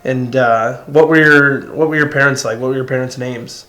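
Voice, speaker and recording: Grandson as interviewer, average-pitched man, filler-heavy short prompts, faint music behind. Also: fairly even intonation, normal speed, pushed and bright. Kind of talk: casual family interview.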